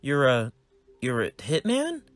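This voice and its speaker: A man voicing an eager, excitable teenage boy in a homemade comedy skit, his voice pushed high. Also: slow pace, no room sound. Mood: surprised.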